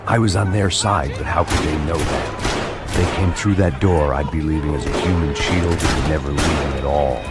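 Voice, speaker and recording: An actor playing a weary, cynical middle-aged American ex-cop in a video game, with terse, deadpan noir delivery. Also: male voice, rumbling low, normal speed. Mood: brooding, weary. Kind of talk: hard-boiled noir narration. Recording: loud music behind.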